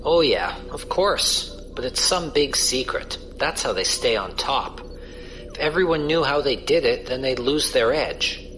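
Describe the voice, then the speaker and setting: A man's voice: medium pitch, expressive, a little slow, fairly bright tone, soft background music. A man playing a casual, wry space pirate crewman who explains things colloquially in a science fiction audio drama. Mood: casual, explanatory. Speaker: voice actor.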